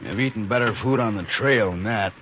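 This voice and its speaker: An American man playing a brutal, domineering outlaw in a 1950s radio western, talking gruff and rustic on a dull, noisy old recording. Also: lowish voice, a little fast, natural voice. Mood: menacing. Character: brutal, cold-blooded male Western outlaw bossing his gang.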